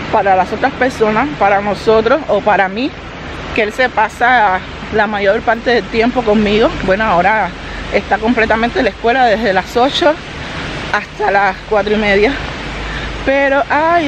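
A woman vlogging as she walks outdoors, holding the camera herself and chatting straight to her viewers. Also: a little high. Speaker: family vlogger. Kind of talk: casual walking vlog. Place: outdoors by a road, walking. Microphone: handheld camera. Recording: noisy outdoor street audio. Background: traffic and street noise.

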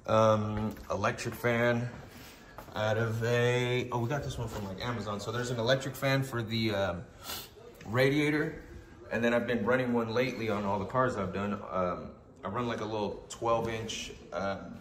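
A man's voice: fairly low pitch, steady tone, normal speed, fairly bright tone, echoey room, a little hiss or hum. A man losing his voice to a cold, with a stuffy nose, talking casually about a car in his garage with lots of ums. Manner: rambling, with many ums and uhs. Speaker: car builder. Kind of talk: casual walkaround narration.

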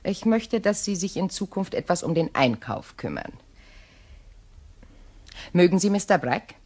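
An actress in a radio play playing an imperious middle-aged widow, genteel, measured and coolly sardonic. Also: female voice, on the low side, sing-song, darkish tone. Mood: composed, calculating.

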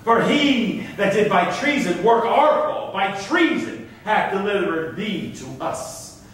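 An actor playing a stern, triumphant governor of Malta, declaiming Elizabethan verse on a theatre stage with command. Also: male voice, high voice, very animated, takes it slowly, a bit bright, a little hiss or hum, full character voice. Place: on stage in an auditorium. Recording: echoey theatre stage, distant microphone.

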